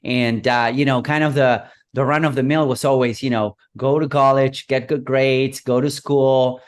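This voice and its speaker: Argentine guy, 30s, relaxed storyteller, chatty with lots of fillers. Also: medium pitch, normal speed. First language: Spanish. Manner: relaxed, rambling with many fillers like "you know" and "whatnot". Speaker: guest, digital marketing consultant. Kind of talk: interview guest, storytelling.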